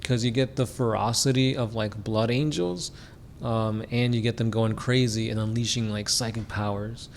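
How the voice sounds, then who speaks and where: Man, gravelly voice, laid-back chat, lots of fillers, faint hiss in background. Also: lowish voice, fairly even intonation, moderate pace. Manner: laid-back, many "like" fillers, laughs often. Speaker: podcast co-host. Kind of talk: casual chat answering fan questions.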